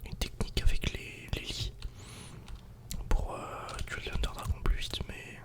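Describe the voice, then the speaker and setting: A woman whispering breathy, unvoiced ASMR commentary while playing a video game, murmuring to herself and to viewers. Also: unhurried.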